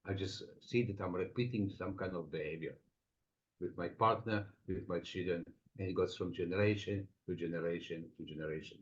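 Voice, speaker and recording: A man with an Estonian accent, a psychotherapist answering interview questions thoughtfully, with many pauses. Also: sits low. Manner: reflective, explains with everyday examples, frequent pauses. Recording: dull lo-fi sound.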